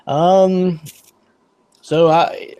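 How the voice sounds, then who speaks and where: A man with a husky voice hosting a casual sports podcast in a joking, teasing manner. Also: on the high side, level tone, drawn-out words, mellow. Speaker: podcast host.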